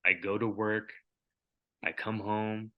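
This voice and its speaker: A man's voice: fairly low pitch, little pitch movement, slow pace, dull audio. A young adult man co-hosting a podcast, talking in a reflective, rambling way with many fillers. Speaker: podcast co-host. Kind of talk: casual chat.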